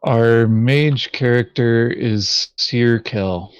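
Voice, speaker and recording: A man's voice: medium pitch, very slow, clear, resonant tone, dry recording, dull audio. A man chatting casually as a board game player, giving short, hesitant answers. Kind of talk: casual chat while planning a board game session.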